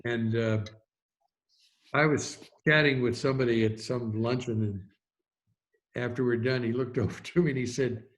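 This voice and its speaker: An older man chatting casually and reminiscing in an unhurried, rambling anecdotal way. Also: mid-range voice, fairly even intonation. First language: English. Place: online video call.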